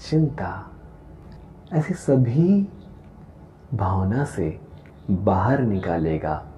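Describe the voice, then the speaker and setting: A man leading a guided meditation in a calm, gentle, deliberate way, with long pauses between phrases. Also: lowish voice. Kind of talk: guided meditation, slow soothing instruction. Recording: voice over background music. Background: meditation music.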